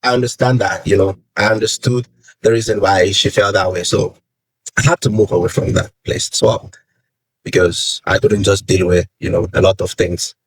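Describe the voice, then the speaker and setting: A man's voice: on the low side, fairly even intonation, normal speed, breathy edge, poor audio. A young man from Nigeria with a Yoruba accent, giving earnest personal advice in a vlog and repeating phrases for emphasis. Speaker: vlogger. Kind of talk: personal advice talk to camera.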